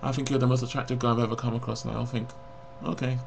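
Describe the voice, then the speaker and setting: A man's voice: medium pitch, level tone, fast talker, vocal fry, a little hiss or hum. A man of forty talking frankly and bluntly to a webcam in a personal confessional vlog, rambling and reflective. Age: 40s. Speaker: vlogger.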